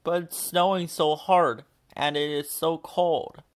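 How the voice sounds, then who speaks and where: A man's voice: fairly high pitch, fairly even intonation, takes it slowly, pitched above the performer's own voice. A man voicing a big, self-assured steam engine in a storybook, boastful and dismissive. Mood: reluctant.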